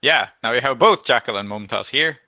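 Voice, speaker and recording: A man's voice: medium pitch, expressive, brisk, bright, forceful tone, no echo, highs cut off. A man making casual table talk during a tabletop roleplaying game.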